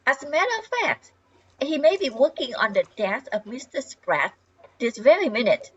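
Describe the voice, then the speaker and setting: Older woman acting genteel thirties newcomer neighbor, husky, expressive intonation, amateur radio comedy, slightly lo-fi recording. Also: high-pitched, moderate pace, heavily acted. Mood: matter-of-fact. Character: polite, confident new neighbor woman in her thirties.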